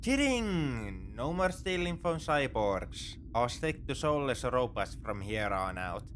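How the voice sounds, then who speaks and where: A man playing a begrudgingly soft-hearted space pirate captor in a sci-fi audio roleplay, speaking to the listener in an exasperated, dismissive, teasing way. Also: mid-range voice, big pitch swings, takes it slowly, a little hiss or hum. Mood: wry, practical. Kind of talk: sci-fi ASMR roleplay acting, addressing the listener.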